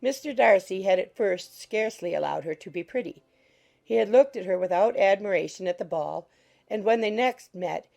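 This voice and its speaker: A woman narrating a classic novel as a scripted audiobook, in a measured, formal storytelling manner. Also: mid-range voice, gravelly, a little mellow.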